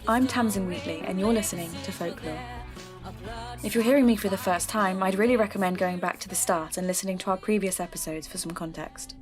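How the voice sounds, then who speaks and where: A woman playing a troubled folklore investigator, narrating her podcast in an earnest, measured and formal way. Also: mid-range voice, close to an everyday voice. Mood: measured, uneasy. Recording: music under the voice.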